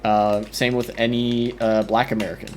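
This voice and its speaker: A man's voice: mid-range voice, flat delivery, moderate pace, darkish tone, a little hiss or hum. A man commenting casually on a debate clip as a streamer, rambling with many fillers and restarts.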